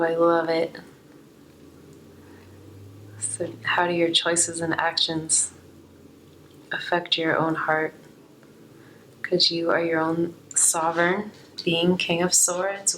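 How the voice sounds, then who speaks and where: Female tarot reader, low register, a touch hoarse, reflective and unscripted delivery, some noise behind the voice. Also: a bit bright, rough recording. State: slightly hoarse. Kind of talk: unscripted spiritual card reading, reflective.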